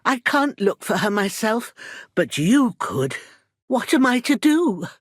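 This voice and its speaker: A woman's voice: on the high side, big pitch swings, takes it slowly, husky, no echo, clean studio sound, full character voice. An actress playing a worried old village herbwoman in a video game, protective and proud, with a plain-spoken rustic manner. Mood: worried, pleading. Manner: rustic, plain-spoken, folksy turns of phrase. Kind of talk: scripted video game character dialogue.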